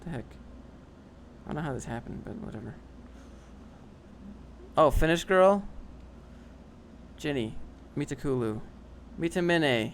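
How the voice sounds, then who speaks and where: A man's voice: fairly high pitch, lively intonation, a little slow, pauses often, slight background noise. A young man casually reading and answering chat on a livestream, dry and sarcastic, often rambling.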